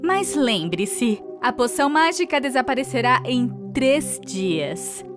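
A woman voicing a scheming female sea witch in a cartoon, slow and gloating, with cackling laughs. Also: high-pitched, sing-song, full character voice. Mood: menacing. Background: background music.

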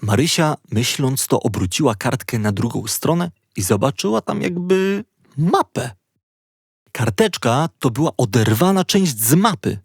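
A man narrating a children's story in an expressive, playful storytelling manner. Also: mid-range voice, measured pace, gravelly, breathy edge, no room sound, studio quality.

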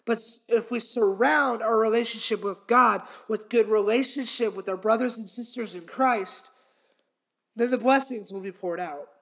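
A man's voice: high voice, fairly bright tone. A young man hosting his podcast, teaching a Bible passage in sermon style, earnest and emphatic, repeating his key points. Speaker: podcast host and preacher. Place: small indoor room with a red wall. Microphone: fixed camera, head and shoulders framing.

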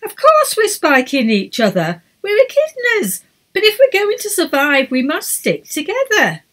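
A commanding little female echidna with a high, bright voice, as a woman reading a storybook puts her on. She swoops up and down in pitch as she gives orders. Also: wildly swooping pitch, unhurried, full character voice. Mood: exasperated. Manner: insistent, commanding. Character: bossy sensible female echidna leader.

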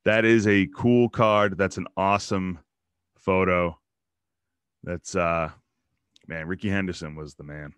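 A man in his thirties hosting a casual solo show, rambling and joking as he opens card packs in a small home room on a boom mic. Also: fairly even intonation, a little slow, long pauses, fairly bright tone, clear, resonant tone, dry recording. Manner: rambling, joking asides about players. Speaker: podcast host opening baseball card packs. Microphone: studio mic on boom arm, headphones.

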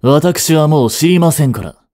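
A man's voice: a little high, expressive, moderate pace, darkish tone. A young man playing a stern, overprotective butler who speaks formally and earnestly, often sighing. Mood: scolding. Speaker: voice actor. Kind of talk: Japanese voice drama acting.

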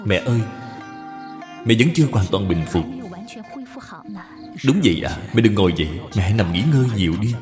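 A man voicing a gentle, dutiful young daughter-in-law in a cartoon, respectful and caring. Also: lowish voice, lively intonation, brisk, long pauses, music in the background, close to an everyday voice. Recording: long hall reverb.

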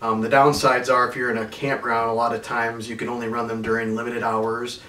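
A man's voice: medium pitch, a little fast. Man unboxing gear in a roomy living room. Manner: relaxed and plainspoken, many ums. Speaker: RV owner reviewing gear. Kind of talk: unboxing review. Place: carpeted living room at home. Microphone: far camera. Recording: roomy, from far off, faint noise.

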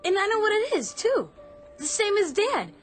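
A woman's voice: very high voice, wildly swooping pitch, normal speed, soft background music, full character voice. A woman playing a blunt, precocious little boy in a radio drama, matter-of-fact and cheeky.

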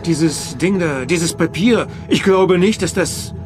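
A man playing a brisk, sceptical spaceship lieutenant in a radio drama, with clipped questions and orders. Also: fairly high pitch, expressive, music in the background. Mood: sceptical, placating.

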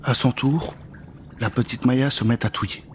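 A man's voice: mid-range voice, steady tone, normal speed, a little hiss or hum. A man in his thirties telling a children's story dramatically into a close studio microphone. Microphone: close studio microphone with pop filter.

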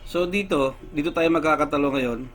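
Man explaining a gadget fix, unhurried. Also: on the high side, soft background music. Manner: unhurried, explains step by step with many fillers. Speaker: tech vlogger. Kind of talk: casual how-to demonstration.

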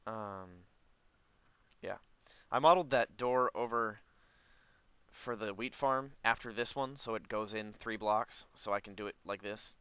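Guy doing casual lets play commentary, lowish clear voice, kinda rambly thinking out loud, dry close mic. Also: measured pace, no room sound. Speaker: gaming video host. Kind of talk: casual, unscripted gameplay commentary.

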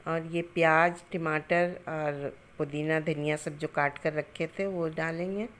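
A middle-aged woman with a somewhat low voice talks through a recipe step by step, calm and homely. She sounds like a home cook recording on a phone in her own kitchen, adding greetings and blessings as she goes. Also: a little slow. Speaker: cooking channel host.

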